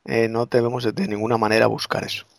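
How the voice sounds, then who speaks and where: A man's voice: mid-range voice, level tone, quick pace, creaky, no echo, studio quality. A man with a Castilian Spanish accent exhorting in a religious discussion, emphatically repeating his points.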